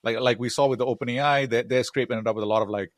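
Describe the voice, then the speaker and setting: A man's voice: mid-range voice, steady tone, very fast, no room sound, studio quality. A man hosting a podcast interview, asking long rambling questions full of filler words. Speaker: podcast host. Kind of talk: casual interview.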